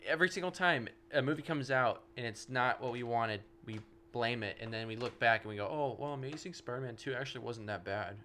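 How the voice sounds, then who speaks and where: A man's voice: medium pitch, a little fast, hardly any pauses, bright, forceful tone, slight background noise. A young man in a casual podcast chat, thoughtfully arguing his point. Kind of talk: casual chat among friends.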